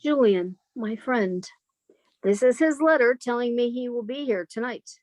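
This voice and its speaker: Yearning country spinster, thirties woman acting, earnest higher voice, dry studio sound, radio melodrama. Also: a little slow, a little mellow, no echo, natural voice, rough recording. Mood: apologetic, dreamy.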